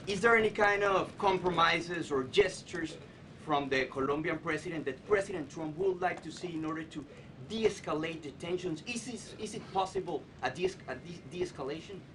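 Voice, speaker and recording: A man asking a formal question as a reporter from the back of a briefing room. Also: far from the mic. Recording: off-mic, noisy room with rumble.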